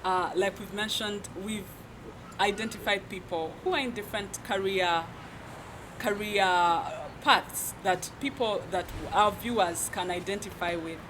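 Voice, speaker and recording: A woman's voice: medium pitch, measured pace. A woman from Uganda hosting an interview casually, chiming in and cheering her guest on. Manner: lively, cheers and chimes in, laughs. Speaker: host. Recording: street and traffic noise.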